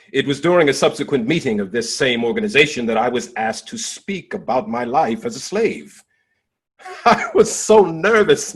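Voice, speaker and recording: A man performing a dramatic monologue as a dignified, impassioned nineteenth-century abolitionist orator, declaiming in swelling and hushed phrases with deliberate pauses. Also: mid-range voice, breathy edge, full character voice. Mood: reverent.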